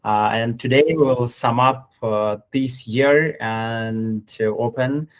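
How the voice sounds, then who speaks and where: A man hosting an online meeting as an educational facilitator, speaking informally and haltingly in non-native English phrasing. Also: mid-range voice, fairly even intonation. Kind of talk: hesitant, informal stream hosting.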